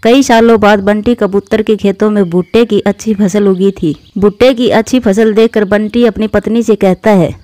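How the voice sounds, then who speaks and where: A woman narrates a children's cartoon tale. Her voice is mid-pitched and mellow, with a close, dry sound and a scripted storytelling delivery. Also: fairly even intonation, moderate pace, few pauses, no echo.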